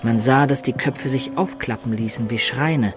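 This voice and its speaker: An actor playing an adventurer who recounts his tale in measured, descriptive narration for a German radio drama. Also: medium pitch, lightly acted. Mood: suspenseful. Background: music.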